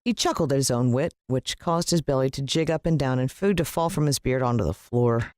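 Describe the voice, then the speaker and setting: Audiobook narrator: American woman, expressive and suspenseful storytelling, measured pauses, bone-dry studio-like sound. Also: rumbling low, no echo. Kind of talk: dramatic scripted storybook narration.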